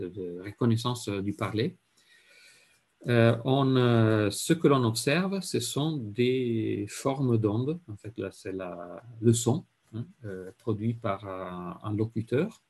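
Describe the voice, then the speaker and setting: A man with an Italian accent lecturing in French, halting with many fillers and repeated words, and often clearing his throat. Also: on the low side, darkish tone. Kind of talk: university lecture.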